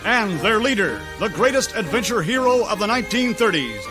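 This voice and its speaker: A male announcer narrates a radio adventure serial over dramatic music. He is theatrical and declamatory, with a fairly high voice. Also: sing-song, a little fast.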